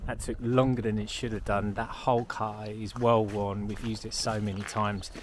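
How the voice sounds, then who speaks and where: A man's voice: lowish voice, level tone, normal speed, faint music behind. Young man explaining his building site. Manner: practical, explaining on the go. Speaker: self-build vlogger. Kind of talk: casual site walkthrough. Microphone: handheld action camera.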